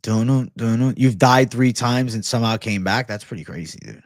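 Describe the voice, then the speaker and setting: A man's voice: mid-range voice, brisk, no echo, clean studio sound. A man hosting a casual livestream, chatting and answering viewers in slangy, profane, bro-heavy banter. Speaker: livestream host.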